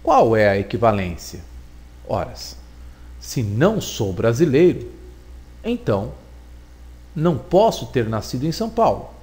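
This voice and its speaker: A man giving a recorded logic lesson, explaining deliberately and repeating points for emphasis. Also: medium pitch, big pitch swings, a little mellow. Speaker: teacher.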